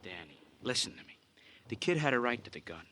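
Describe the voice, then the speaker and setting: An actor playing an earnest, anxious police sidekick in a 1950 radio crime drama, colloquial and pleading. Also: male voice, medium pitch, very animated, a little fast, sharp tone, no echo. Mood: exasperated. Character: excitable, loyal male police sidekick, anxious and plain-spoken.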